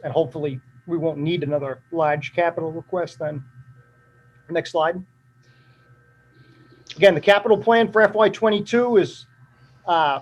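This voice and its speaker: A man presenting a fire department budget at a town meeting, matter-of-fact and steady, his voice drying up. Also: a little high. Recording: dull lo-fi meeting recording.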